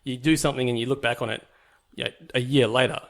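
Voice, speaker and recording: A man's voice: mid-range voice, steady tone, quick pace. A young man from New Zealand, a podcast guest, telling his life story in a casual, rambling way with many fillers and restarts.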